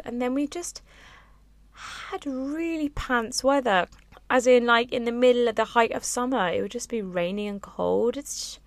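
A young woman hosting a casual solo podcast, rambling and chatty with sighs and filler words, her voice lower and a little husky after a late night. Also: no room sound. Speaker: podcast host. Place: on a boat, small space.

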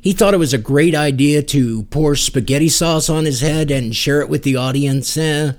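A man's voice: fairly high pitch, moderate pace. A man hosting a casual music podcast, chatting in a joking, rambling way. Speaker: podcast host.

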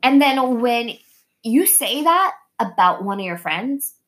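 A woman's voice: fairly high pitch, lively intonation, slow, fairly bright tone. A woman hosting a podcast in casual, candid conversation, blunt and swearing freely, acting out imagined dialogue as she talks. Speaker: podcast host.

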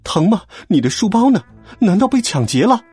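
A fretful, scolding mother from a children's audio story, acted by a man. Her high voice swoops about as she nags and questions, tender-hearted underneath. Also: very animated, mellow, husky, no room sound, faint music behind, exaggerated voice. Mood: worried. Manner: shrill nagging, rapid questions.

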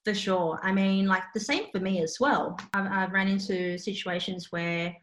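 A woman's voice: medium pitch, normal speed. Chatty woman, roomy sound. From Australia. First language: Cantonese. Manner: chatty, filler-heavy, animated storytelling. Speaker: interpreting student. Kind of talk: casual chat. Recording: roomy echo.

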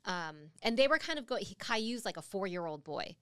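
A woman's voice: mid-range voice, sing-song, fairly quick, clean tone, no echo, clean studio sound. A woman in her thirties co-hosting a casual podcast chat, often hesitant and searching for words as she talks. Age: 30s. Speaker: podcast co-host.

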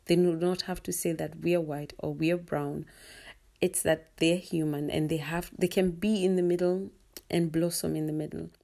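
A woman's voice: low-pitched, steady tone, normal speed, gravelly, mellow. A woman from Kenya in her thirties, a mother speaking steadily and earnestly about raising her children. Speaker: guest. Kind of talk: personal testimony.

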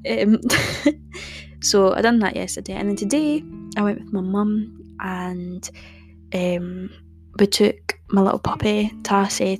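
A young woman hosts her podcast in a soft, calming voice with a gravelly, fry-laden edge. She chats loosely and rambles, dotting her talk with fillers, over faint music in the background. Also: mid-range voice. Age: young adult. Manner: chatty, rambling, many "um" and "like" fillers. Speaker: podcast host. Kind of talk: casual podcast chat.

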